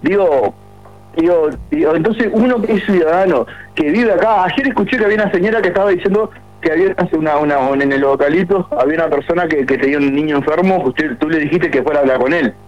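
A man's voice: fairly high pitch, fast. Aggrieved Uruguayan man calling in on a muffled line. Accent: Uruguayan Spanish. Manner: aggrieved, rambling with many restarts. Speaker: caller. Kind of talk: radio call-in complaint. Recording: muffled phone line on radio.

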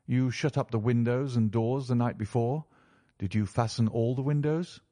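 A man voicing a keen, courteous gentleman detective who speaks in a measured, formal, probing way in a storybook. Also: medium pitch, pitched above the performer's own voice, close to an everyday voice.

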